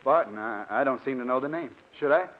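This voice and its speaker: An actor playing a curious, wondering younger doctor in a 1940s radio drama. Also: male voice, medium pitch, fast, lightly acted. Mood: curious, polite. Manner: earnest, hesitant, wondering. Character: curious, astonished younger male doctor visiting his mentor. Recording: slightly muffled old radio recording.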